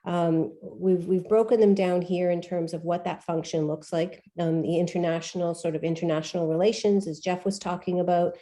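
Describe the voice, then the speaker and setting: A woman running a volunteer orientation, speaking haltingly with many restarts and repeated words. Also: fairly low pitch, steady tone, dark tone. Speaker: media company CEO. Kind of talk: presenting at an online orientation.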